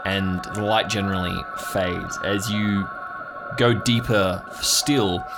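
A man's voice: deep voice, fairly even intonation, slow. A man improvising descriptive narration as game master of an audio drama, setting scenes in the second person. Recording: music playing behind the voice. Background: music.

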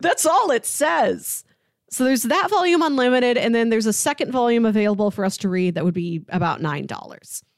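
A woman's voice: a little high, animated, normal speed, no echo, studio quality. A woman co-hosting a podcast, talking casually and reading out short blurbs. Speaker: podcast co-host.

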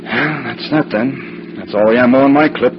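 A man's voice: mid-range voice, normal speed, lightly acted. A muffled, noisy vintage radio recording of a man an actor as a lovestruck army flier. He is earnest, blunt and insistent, in period drama style. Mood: resigned. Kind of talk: old-time radio drama acting.

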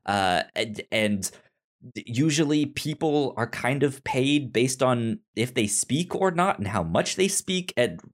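A man's voice: medium pitch, lively intonation, slow. A man hosting a podcast in casual conversation, often stammering and repeating syllables and words. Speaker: podcast host.

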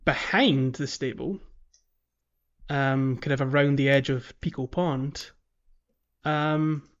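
A man co-hosts a casual gaming podcast. His voice sits at an ordinary middle pitch, and he explains things in a rambling, hesitant way. The recording is slightly dull and lo-fi. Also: steady tone, slow. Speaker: podcast host.

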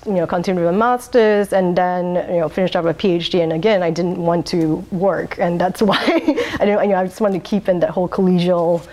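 A woman's voice: lowish voice, brisk, hardly any pauses, darkish tone. Chatty woman who laughs a lot. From Singapore. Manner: chatty and rambling, laughs often, many um's and restarts. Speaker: interviewee, wine bar owner. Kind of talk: oral history interview.

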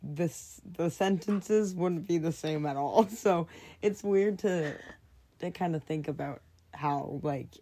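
A young woman with a low, mellow voice, whose first language is French. She chats casually as a guest, hesitant and full of "like" and "um". She often breaks into small laughs. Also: takes it slowly. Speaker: podcast guest. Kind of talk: casual conversation.

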